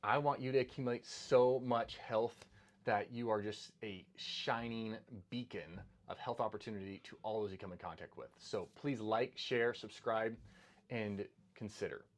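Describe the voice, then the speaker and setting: A middle-aged man, a doctor, talking earnestly to the camera about health habits, often repeating words and restarting his sentences. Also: lowish voice. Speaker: doctor giving health advice. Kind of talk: casual monologue to camera.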